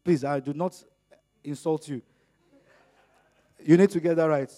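A middle-aged man whose first language is Yoruba, preaching a sermon in an animated way, acting out little dialogues as he goes. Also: a little slow. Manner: animated, acts out dialogues with rising pitch and volume.

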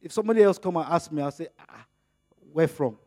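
Animated high-voiced preacher, Yoruba speaker. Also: male voice, fast talker. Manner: animated, acts out dialogues with rising pitch and volume. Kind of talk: sermon.

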